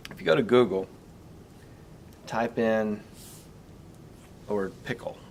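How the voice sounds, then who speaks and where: A middle-aged man talking casually and reflectively to camera, halting and earnest as he thinks out loud. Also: on the low side, a little hiss or hum. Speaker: vlogger and teacher.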